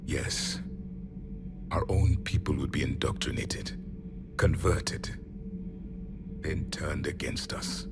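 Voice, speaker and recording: A deep-voiced, ancient alien warrior, the last of his kind. He speaks with cold pride and grave, measured disdain, as in a video game, over prominent music. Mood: grim, severe. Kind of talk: video game character dialogue.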